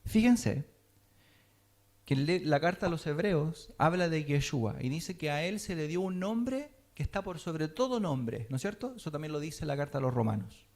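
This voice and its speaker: A man's voice: a little high, animated, moderate pace, darkish tone. Teacherly man preaching. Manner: teacherly, checks in with listeners often. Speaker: teacher of a Messianic congregation. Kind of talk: sermon teaching.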